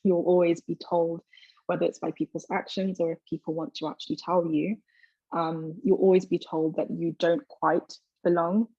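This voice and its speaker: Gravelly, even-toned young London woman. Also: lowish voice, normal speed, no room sound, muffled recording. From London, England. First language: Twi. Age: 20s. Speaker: guest speaker. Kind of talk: personal motivational talk and reflective answers.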